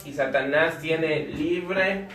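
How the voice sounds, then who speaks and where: A man from Ecuador with an Ecuadorian Spanish accent, teaching a church discipleship class in short phrases and pausing for a live interpreter. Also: a little high, a bit bright. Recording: echoey room, voice from a distance.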